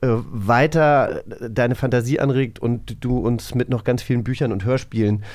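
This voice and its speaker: A man's voice: medium pitch, steady tone, moderate pace, a little mellow, no room sound. A man co-hosting a podcast, chatting casually in a studio. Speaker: podcast co-host. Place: dry studio.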